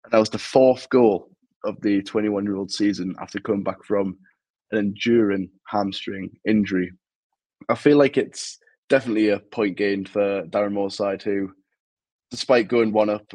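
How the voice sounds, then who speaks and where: A man's voice: fairly low pitch, steady tone, normal speed, a little mellow, dry recording. Young Geordie man reviewing football. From Newcastle, England. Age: young adult. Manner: chatty, analytical match review. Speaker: podcast co-host. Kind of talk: casual football podcast chat.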